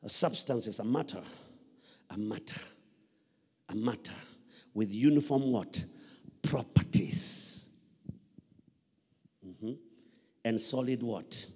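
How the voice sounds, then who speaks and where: A man's voice: medium pitch, slow pace, long pauses, a little mellow, breathy edge. A middle-aged Kenyan man preaching a sermon with emphatic repetition and questions to his listeners, speaking into a handheld microphone. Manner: emphatic, repeats phrases, prompts listeners with questions. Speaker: pastor preaching. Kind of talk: sermon with call-and-response questions. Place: large church hall, indoors. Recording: reverberant hall PA sound.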